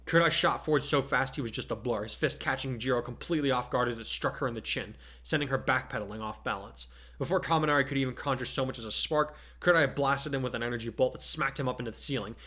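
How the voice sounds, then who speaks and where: A man's voice: mid-range voice, quick pace, pushed and bright. A man narrating fan fiction in a dramatic, scripted storytelling style.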